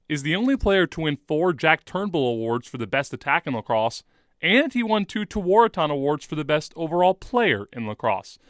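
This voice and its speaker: A man's voice: a little high, a bit bright, smooth, no echo, clean studio sound. A man reading a scripted sports radio report as the reporter.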